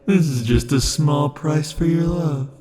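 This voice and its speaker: A man voicing an obsessive, possessive immortal lover in an ASMR roleplay, speaking softly and slowly with dark chuckles, shifting between tenderness and threats. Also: fairly high pitch, a little hiss or hum. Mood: unhinged.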